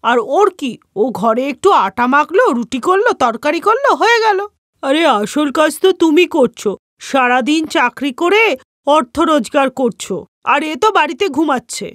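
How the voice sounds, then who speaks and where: A woman's voice: sits high, a bit bright, dry recording, clean studio sound. Older mother-in-law, bossy and cutting, a woman doing the voice, cartoon dub. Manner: scolding, belittling, complains of backache. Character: sharp-tongued older mother-in-law, scolding.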